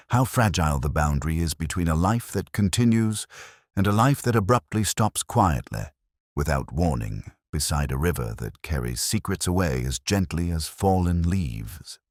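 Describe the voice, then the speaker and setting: A man voicing a weary, melancholy older police detective in a sombre, reflective, measured first-person mystery narration. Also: very deep voice, dry recording, studio quality, exaggerated voice.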